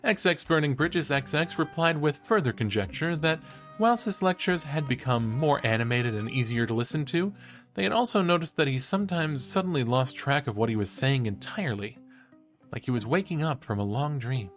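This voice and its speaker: A man playing an eager, credulous young student podcaster in an audio drama, talking in an animated, earnest, teasing way. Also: medium pitch, moderate pace, faint music behind, close to an everyday voice. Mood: earnest, intrigued. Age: young adult. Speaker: podcast co-host character. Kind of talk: conversational audio drama acting.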